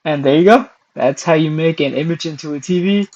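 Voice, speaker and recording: A young man hosting a casual screen-recorded software tutorial, hoarse and losing his voice, clearing his throat often between steps. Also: a little high, fairly quick, muffled recording. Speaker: tutorial host.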